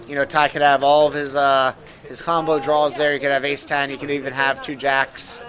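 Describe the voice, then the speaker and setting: A man's voice: mid-range voice, little pitch movement, normal speed, a crowd in the background. An American man giving casual live poker commentary as a guest, easygoing and chatty.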